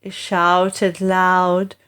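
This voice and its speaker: A woman narrating rhyming children's stories in an expressive, dramatic, deliberate storyteller's way. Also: on the low side, smooth.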